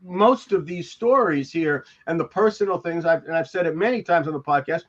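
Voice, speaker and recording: An older man reminiscing casually in a halting, rambling storytelling manner on a video call. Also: fairly high pitch. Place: indoor room on a video call. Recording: dull, lo-fi call audio.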